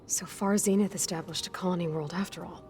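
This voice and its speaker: An actress playing a determined young huntress who asks direct, probing questions in a game cutscene. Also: female voice, on the low side, fairly even intonation, fast talker, a little mellow, a little hiss or hum, natural voice. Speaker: game protagonist. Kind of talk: video game cutscene acting.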